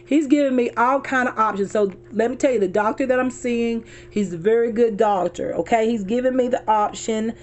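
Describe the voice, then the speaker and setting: Hoarse, chatty woman vlogging from her car. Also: fairly high pitch, fairly quick, hardly any pauses. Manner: chatty, addresses viewers as y'all, repeats herself. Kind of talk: casual chat to viewers.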